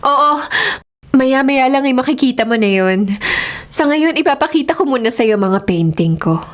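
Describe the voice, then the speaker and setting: High-voiced vengeful schoolgirl. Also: lively intonation, normal speed. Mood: coolly sinister. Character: vengeful schoolgirl painter, wounded and eerily menacing. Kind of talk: radio horror drama acting. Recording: loud dramatic music underneath.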